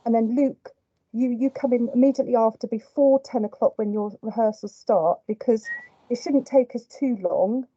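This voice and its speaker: A woman's voice: fairly high pitch, moderate pace, dark tone, husky, no room sound. A young woman in a casual planning call, offering suggestions in long, hedging stretches. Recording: muffled, dry call audio.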